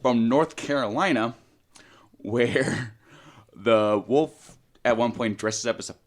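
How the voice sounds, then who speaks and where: A man's voice: medium pitch, slow. Profane man in casual podcast banter. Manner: irreverent, profane. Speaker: podcast co-host.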